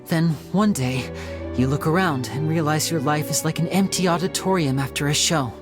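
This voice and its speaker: A man voicing a suave, wistful young male stage magician in a video game, by turns theatrical and playful or soft and reflective. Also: fairly high pitch, moderate pace, close to an everyday voice. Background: game music.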